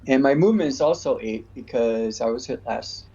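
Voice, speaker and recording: A man's voice: mid-range voice, a little fast, dark tone, breathy edge. A man playing in a tabletop game, thinking aloud in a hesitant, casual way. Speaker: player. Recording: muffled voice call audio.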